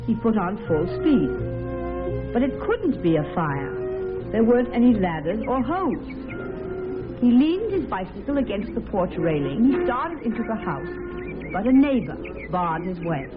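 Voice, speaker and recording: A woman's voice: medium pitch, sing-song, a little slow. A woman giving scripted dramatic narration on a tinny vintage radio recording, with music behind her.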